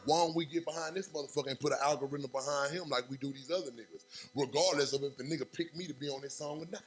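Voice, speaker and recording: A young man, a rapper talking as a guest on a podcast in fast, animated street talk full of fillers. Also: on the high side, breathy edge, soft background music. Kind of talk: casual podcast interview.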